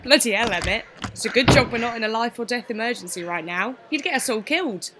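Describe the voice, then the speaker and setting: A woman's voice: fairly high pitch, animated, fast, heavy background noise, close to an everyday voice. A woman playing a cheeky, irreverent worker in an audio drama, with a teasing, brash delivery.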